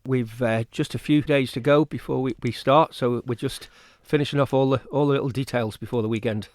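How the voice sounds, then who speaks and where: A man's voice: medium pitch, fairly even intonation, brisk, darkish tone, no echo. A man speaking as an event organiser in an informal radio interview, repeating words hesitantly as he explains. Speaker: choir festival organiser, interview guest.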